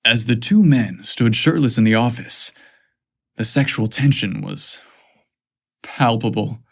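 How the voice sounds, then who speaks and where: A man's voice: mid-range voice, unhurried, vocal fry. A man narrating scripted romantic fiction as a podcast storyteller.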